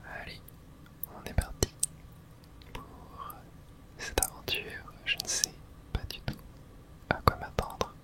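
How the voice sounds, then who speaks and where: An ASMR gaming host speaks entirely in a breathy whisper. The delivery is gentle and unhurried, set in short phrases close to the microphone. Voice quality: soft breathy unvoiced whisper. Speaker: video game streamer and host. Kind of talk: whispered ASMR game playthrough.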